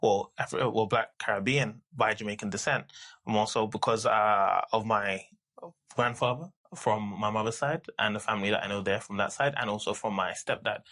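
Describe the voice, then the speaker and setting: A man in his thirties from the UK, a podcast guest talking casually and haltingly, with many restarts and fillers. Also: on the low side, fairly bright tone. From United Kingdom. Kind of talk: casual conversational interview.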